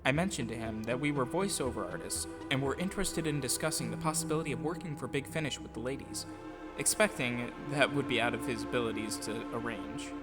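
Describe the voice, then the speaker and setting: A man narrates a personal account with music clearly playing behind him. His voice sits at a middle pitch, and he reads in an earnest, reflective storytelling style. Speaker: audio drama producer and voice actor. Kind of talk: scripted first-person storytelling.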